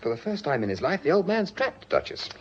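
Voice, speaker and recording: A man acting a wry, gallant British gentleman in a radio drama, given to flippant, theatrical banter. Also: fairly high pitch, very animated, brisk, slight background noise. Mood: wry, romantic. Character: wry, gallant upper-class gentleman, self-styled old cad. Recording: dull, muffled lo-fi radio recording.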